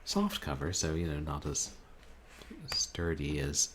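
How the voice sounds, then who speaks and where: A man streaming a book reading, chatting casually with his chat in a calm, musing, halting way. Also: very deep voice, slight background noise. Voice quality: calming voice. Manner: musing, soft-spoken, halting. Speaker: streamer reading books aloud.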